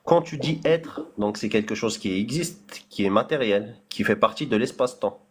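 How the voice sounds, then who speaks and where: A man's voice: lowish voice, expressive, normal speed, breathy edge. A man speaking French as a non-native in a heated debate, hesitant and full of fillers, repeating words while insisting on finishing his point. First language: not French.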